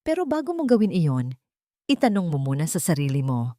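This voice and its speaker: A woman's voice: sits low, huge pitch swings, brisk, dark tone, no echo, studio quality. A woman with a Filipino accent voicing a proud, bitter, defiant wronged wife in an audiobook drama, taunting and intense. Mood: defiant challenge.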